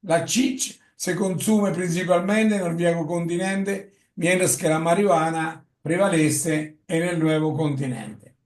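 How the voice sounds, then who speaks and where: A man with an Italian accent gives a lecture in Spanish, often halting with fillers and correcting himself. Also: fairly high pitch, fairly even intonation. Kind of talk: lecture presentation. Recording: echoey room.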